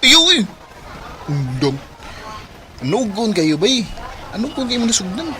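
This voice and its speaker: A man's voice: sits high, sing-song, measured pace, background noise. A man playing a sympathetic young college buddy, colloquial and commiserating, in a radio drama.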